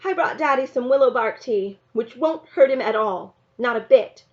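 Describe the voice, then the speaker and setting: Lady reading as young country girl, pleading but stubborn, high voice, little muffled. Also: lively intonation, moderate pace, close to an everyday voice. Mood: defiant, insistent. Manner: pleading, insistent, breathless run-on sentences. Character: earnest, defiant young country girl telling her story. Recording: slightly dull, lo-fi sound.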